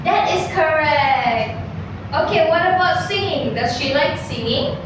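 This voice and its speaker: A young woman with a very high voice leads a classroom drill, speaking slowly and asking simple questions. She sounds far from the mic in a very echoey room with clear background noise. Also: expressive. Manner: slow, clear, encouraging questions. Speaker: teacher in a mock class. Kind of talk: classroom teaching, quizzing students.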